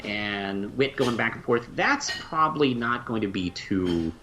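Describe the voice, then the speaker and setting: A man's voice: on the low side, lively intonation, measured pace, over music. A man co-hosting a podcast in casual discussion, on a dull, slightly noisy recording. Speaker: podcast co-host. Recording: dull audio with faint noise.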